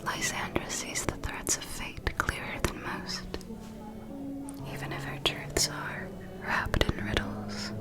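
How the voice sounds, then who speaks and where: A woman playing a refined, protective vampire mentor in an ASMR roleplay, confiding discreet gossip in a soft, measured whisper. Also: close to an everyday voice. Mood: confiding, wary.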